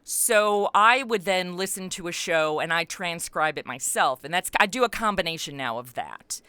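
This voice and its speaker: A middle-aged American woman talking casually as an interview guest about her creative work, animated and informal. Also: lowish voice, moderate pace, clear, resonant tone, no echo. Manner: animated, informal, peppered with like and you know. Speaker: interview guest, comedy podcast writer and voice actor.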